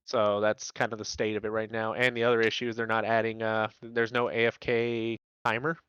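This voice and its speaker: A man's voice: fairly low pitch, completely flat delivery, brisk, fairly bright tone, clean tone, no echo, dull audio. A man chatting casually about games in a laid-back, slangy way, swearing often as he explains things. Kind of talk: casual gaming chat.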